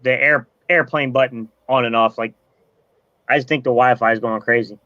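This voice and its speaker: A man's voice: medium pitch, fairly even intonation, moderate pace, dry recording, lo-fi sound. A man co-hosting a casual live-streamed sports podcast, talking in a tired, easygoing way and laughing often over a video call. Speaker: podcast co-host. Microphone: computer mic on a live video call.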